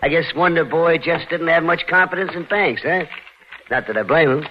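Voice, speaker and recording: An actor playing a sneering, tough American thug in a 1940s radio crime drama. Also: male voice, a little high, normal speed, close to an everyday voice. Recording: dull, noisy vintage radio recording.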